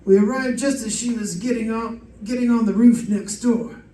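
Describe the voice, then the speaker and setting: A roomy, distant stage recording of a man acting a police detective. His voice is mellow and easygoing, with matter-of-fact delivery for a radio mystery. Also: very high-pitched, moderate pace. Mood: explaining. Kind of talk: old-time radio detective drama.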